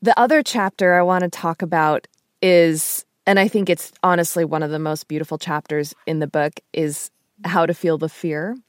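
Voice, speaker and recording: A woman hosts a podcast interview in a slightly low voice. Her phrasing is thoughtful and measured, moving between conversation and narration. The sound is dry, with very little room in it. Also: fairly even intonation, no room sound.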